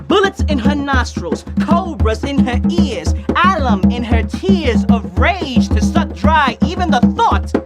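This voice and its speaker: A woman performing fierce, vivid spoken word poetry. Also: on the high side. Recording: loud percussion music behind.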